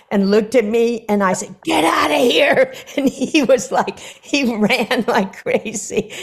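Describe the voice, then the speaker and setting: An older woman, a singer, chatting over a video call from her living room and telling lively stories with many fillers. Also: mid-range voice. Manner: animated storyteller, many fillers. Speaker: guest singer. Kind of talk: casual conversation.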